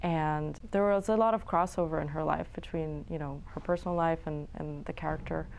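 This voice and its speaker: A young woman from New York and Florence, answering interview questions about her film in a relaxed, chatty way with fillers and small laughs. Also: deep voice, brisk, vocal fry. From New York City and Florence. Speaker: filmmaker guest. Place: studio.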